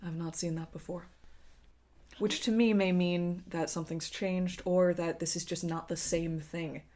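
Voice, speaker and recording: A woman voicing a grave, resigned man who speaks in a quiet, measured, serious way in a tabletop game. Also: lowish voice, fairly even intonation. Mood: measured, guarded.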